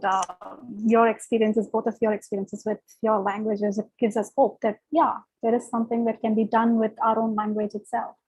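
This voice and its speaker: Woman moderator on a video call, kinda high voice, encouraging, says um a lot. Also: poor audio. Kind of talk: panel discussion.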